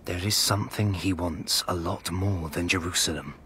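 An actor playing a shrewd, deferential nobleman advising his lord in a radio drama, polite and measured. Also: deep voice, fairly even intonation, a bit bright, slight background noise. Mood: cautious warning.

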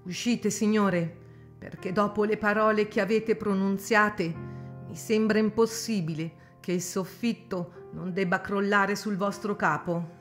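An actress playing a gentle young mother in a radio drama, pleading and dignified. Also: medium pitch, steady tone, unhurried, soft background music, lightly acted. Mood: indignant.

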